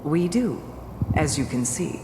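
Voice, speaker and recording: Imperious game official, low and formal, commanding, in a reverberant hall. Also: female voice, expressive, unhurried, background noise. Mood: cool authority. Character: cool, imperious female official of a secretive organisation. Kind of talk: video game voice acting.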